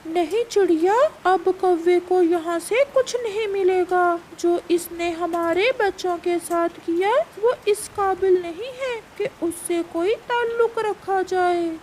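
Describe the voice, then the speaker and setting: A woman's voice: extremely high pitch, takes it slowly, hardly any pauses, darkish tone, slight background noise, rough recording. A woman voicing a resolute, protective bird in a cartoon fable, speaking in firm statements. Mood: firm, indignant. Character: resolute bird friend, protective of the little ones. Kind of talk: Hindi animated fable dialogue.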